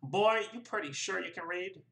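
A man reading aloud, voicing a loud, bullying, heavyset small-town official who barks his questions. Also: moderate pace, creaky. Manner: booming, barking questions. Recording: roomy echo.

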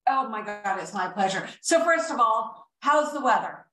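A woman's voice: a little high, lively intonation, moderate pace, bright, forceful tone. Enthusiastic woman host in an echoey room. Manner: enthusiastic, gushing interjections. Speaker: talk show host. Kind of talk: video call interview. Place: room with large windows at home. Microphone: video call webcam at a distance. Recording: echoey room, distant mic, faint noise.

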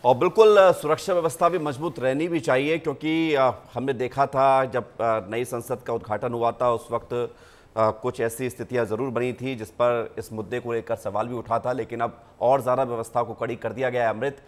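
A male news anchor in a studio hands over to a reporter in the field. His pitch is average, and he talks in a measured way with hesitant pauses. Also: fairly even intonation.

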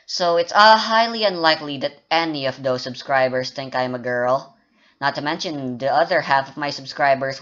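A man's voice: a little high, pushed and bright, highs cut off. A man rambling casually and joking at his own expense during a game development update. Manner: rambling, self-mocking, joking about his voice. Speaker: indie game developer and YouTuber.

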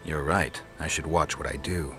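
A low deadpan monster hunter from a fantasy game, curt, faint music behind. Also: male voice, normal speed. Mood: dry, probing. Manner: terse, deadpan. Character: stoic, blunt monster-hunting witcher. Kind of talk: dramatic video game acting.

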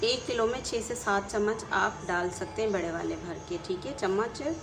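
A woman with a Pakistani Urdu accent walks through a home recipe, her voice in a plain mid range. She talks in a loose, chatty way and keeps checking in after each step. The phone recording picks up clear background noise from the kitchen. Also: mid-range voice, normal speed. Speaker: home cooking channel host. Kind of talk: casual cooking tutorial.

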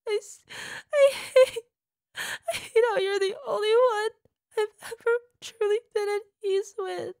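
A woman's voice: a little high, takes it slowly, creaky, pauses often, no echo, clean studio sound. A woman playing a volatile, bitter girlfriend. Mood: tearful rage. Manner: hushed whispers that flare into swearing shouts. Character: bitter, volatile young woman tearing into her partner. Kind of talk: audio roleplay monologue.

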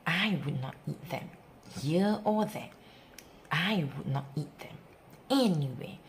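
A woman reading a rhyming picture book aloud for young children in an expressive, theatrical, sing-song way with emphatic pauses. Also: low-pitched, creaky, a little hiss or hum. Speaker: children's story reader.

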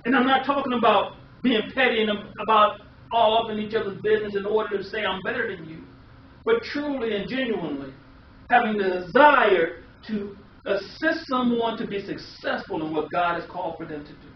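A man's voice: sits high, normal speed. Man, American accent, middle-aged, emphatic sermon, rhetorical questions, echoey and slightly dull distant recording. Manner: emphatic, repeating phrases and asking rhetorical questions. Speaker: preacher. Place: small indoor room. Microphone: distant camera microphone. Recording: echoey, muffled, distant camera audio with hiss.

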